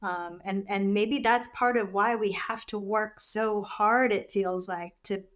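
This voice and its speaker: A woman's voice: medium pitch, fairly even intonation, unhurried. A woman hosting an interview, talking in a chatty, reflective way with many fillers. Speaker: host, leadership coach. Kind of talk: casual interview talk.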